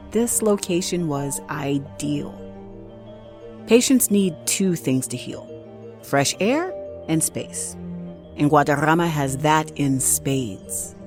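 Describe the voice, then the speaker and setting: A woman playing a solitary, unflappable night nurse, narrating an audio drama in a measured, confiding way. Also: low-pitched. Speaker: actress, narrator. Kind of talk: first-person scripted audio drama monologue.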